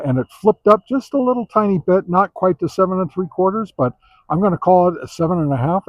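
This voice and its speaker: Casting: male hobbyist reviewer, casual and halting, circles back over his points, muffled low-fidelity sound. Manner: halting, repetitive, hedging explainer. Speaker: reviewer presenting a radio antenna test.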